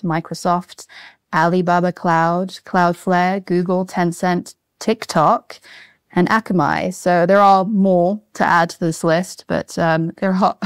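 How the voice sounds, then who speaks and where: Smooth-voiced woman in upbeat podcast chat. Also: fairly low pitch, no room sound, studio quality. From Asia (born in Singapore, grew up in Hong Kong and Japan). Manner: upbeat, reels off long lists of names with light laughs. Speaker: managing director, podcast guest.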